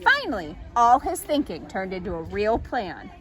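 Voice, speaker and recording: A woman reading a children's story aloud as an expressive storytime narrator. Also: medium pitch, normal speed.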